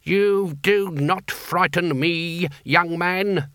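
A man's voice: high-pitched, animated, moderate pace, pushed and bright, no echo, studio quality, pitched above the performer's own voice. A man voicing a fragile, indignant elderly wizard lecturer in a storybook, with clipped, pedantic, over-precise enunciation. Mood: admonishing, haughty.

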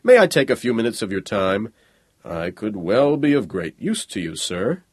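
A man's voice: lowish voice, sing-song, moderate pace, husky, dry recording. A man voicing a tall, middle-aged male robot in an audiobook, with a dry, hollow voice and smug, formal politeness. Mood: insistent, polite. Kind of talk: audiobook character voice.

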